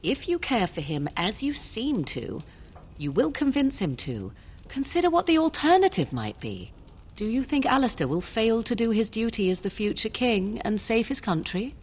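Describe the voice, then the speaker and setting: An actress playing a cool, secretive witch in a fantasy video game, speaking in a formal, deliberate, insistent way. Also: a little high, sing-song, darkish tone, husky, exaggerated voice. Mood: coolly persuasive. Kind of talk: scripted video game dialogue acting.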